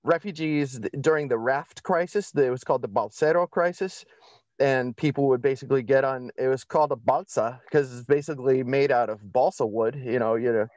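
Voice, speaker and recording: A man's voice: mid-range voice, a little fast, darkish tone, no room sound. Man talking on dull, lo-fi audio. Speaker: podcast guest. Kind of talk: conversational interview storytelling.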